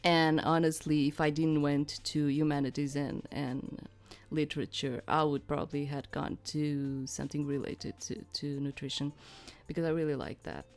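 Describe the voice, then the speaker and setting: Lower-voiced woman playing and narrating a game. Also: flat delivery, normal speed, faint music behind. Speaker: let's play host. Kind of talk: reading game narration aloud with casual commentary.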